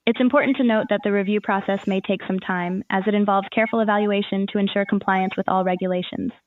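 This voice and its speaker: A woman's voice: medium pitch, steady tone, fast talker, no room sound, narrow, tinny audio. A woman's voice as an AI assistant, giving scripted, formal informational answers in a customer-service manner.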